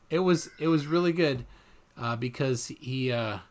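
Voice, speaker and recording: A man hosting a casual live-stream chat, talking haltingly with many fillers as he looks for things. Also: medium pitch. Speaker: host.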